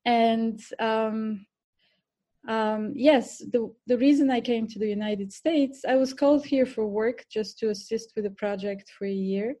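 A woman's voice: a little high, measured pace, mellow. A middle-aged woman with a Bulgarian accent, a podcast guest telling her story earnestly, with fillers and restarts. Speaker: podcast guest, cooking teacher and restaurant owner. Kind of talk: podcast interview.

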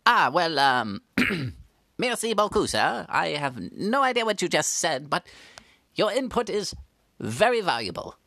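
A woman playing a deadpan, falsely formal street reporter in a comedy sketch. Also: lowish voice, unhurried, no room sound, clean studio sound. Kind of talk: comedic mock news report.

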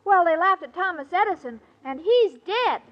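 A woman's voice: very high voice, lively intonation, moderate pace. An actress playing a sweet, naive, self-dramatizing young woman in a 1940s radio comedy. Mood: melodramatic self-pity. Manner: naive, earnest, melodramatic. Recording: noisy dull old radio recording, loud audience.

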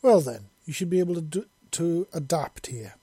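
A man's voice: fairly high pitch, expressive, moderate pace, dark tone, slightly rough, no room sound, voice pushed up. A man voicing a curious, commanding female bird-creature mistress in an audiobook story, with formal and precise speech.

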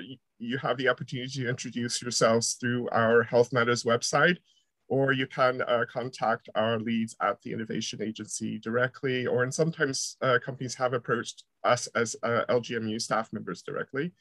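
A man's voice: mid-range voice, a bit bright. A middle-aged American man answers questions in a podcast interview, his speech hesitant and full of fillers. His tone stays friendly. The sound is dry and close, with no room echo. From United States. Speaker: university researcher guest.

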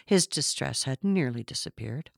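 A woman's voice: extremely low pitch, expressive, moderate pace, creaky, no echo, studio quality. A woman narrating a literary story from a script. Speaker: audiobook narrator.

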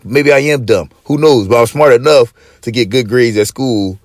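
Man, podcast host, slightly raspy, profane joking rants, mid-pitched, dry close sound. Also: normal speed, dry recording. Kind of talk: casual chat.

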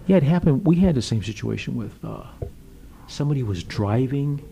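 A man's voice: medium pitch, animated, brisk, creaky, warm tone, slight background noise. A man co-hosting a casual podcast, encouraging and agreeable, often repeating his phrases. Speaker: podcast co-host. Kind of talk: casual chat.

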